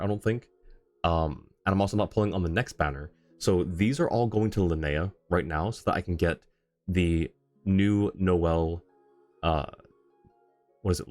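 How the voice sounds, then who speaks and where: Low-voiced man chatting on a stream. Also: a little mellow. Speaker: game livestreamer. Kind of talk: casual livestream chat.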